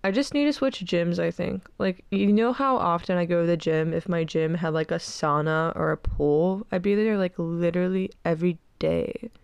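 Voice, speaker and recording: A young woman in her twenties hosts her podcast in a loose, rambling way, leaning on "like" constantly. Her nose sounds stuffed up, and a gravelly vocal fry runs under a smooth voice. The sound is dry, with no room echo. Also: on the low side, moderate pace, hardly any pauses, muffled recording. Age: 20s. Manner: rambling, peppered with "like". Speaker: podcast host. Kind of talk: casual chat.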